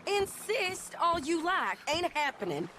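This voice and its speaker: A woman's voice: very high-pitched, very animated, measured pace, over music, exaggerated voice. An actress playing a blunt, determined frontier gunwoman, in a video game cutscene. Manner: blunt, commanding.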